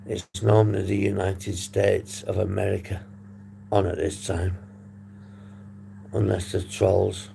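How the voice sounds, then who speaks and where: A man hosting a casual livestream, hoarse and husky, rambling and swearing as he reads the chat. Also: low voice, little pitch movement, slow, long pauses, dark tone. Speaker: livestream host. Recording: noisy background hum.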